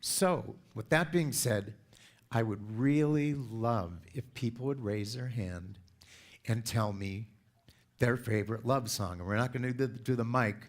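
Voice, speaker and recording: A man in his sixties from California with a deep voice, giving a chatty, playful talk to a congregation and drawing the audience in. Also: a little slow. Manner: chatty, playful storyteller who asks the audience for input. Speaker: speaker at a spiritual center.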